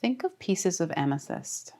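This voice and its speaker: A woman leading a guided relaxation as a yoga teacher, speaking calmly and soothingly with deliberate pauses. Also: on the low side. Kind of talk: guided meditation.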